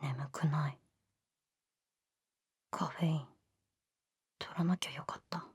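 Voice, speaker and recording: Sulky lovesick teen girl, lowish voice, blunt n hesitant, dry close recording, audio drama. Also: fairly even intonation, slow, slightly rough, dry recording, heavily acted. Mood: brooding inner monologue. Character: sulky, lovesick teenage runaway schoolgirl. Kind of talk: Japanese voice drama acting with hushed inner monologue.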